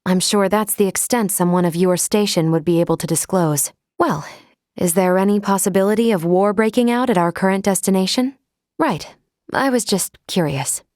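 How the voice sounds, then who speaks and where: A woman playing a logical, polite, protective android first mate in a video game, speaking with formal, precise, measured diction. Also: mid-range voice, darkish tone, dry recording, studio quality, close to an everyday voice. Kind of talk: scripted video game character lines.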